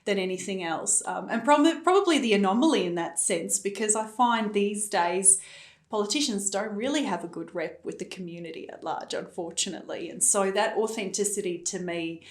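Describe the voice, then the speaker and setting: A woman's voice: mid-range voice, fairly quick. A young adult woman from Africa, speaking as a guest in a conversational interview in an earnest, affirming way. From South Africa. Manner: earnest, affirming, frequent "you know". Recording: echoey room.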